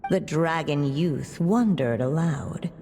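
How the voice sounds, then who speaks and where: A woman performing a graceful, knowing fairy-tale narrator in a video game, measured and theatrical, with long reverb on her voice. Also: sits low, warm tone, faint music behind. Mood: knowing, inviting.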